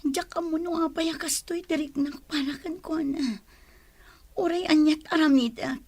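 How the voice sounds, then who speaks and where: A woman with an Ilocano accent playing a heartbroken, naive woman in love in a radio drama, plaintive and soft-hearted. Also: sits high, steady tone, moderate pace, no room sound. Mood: hurt pleading. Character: lovesick, heartbroken, naive woman clinging to a man.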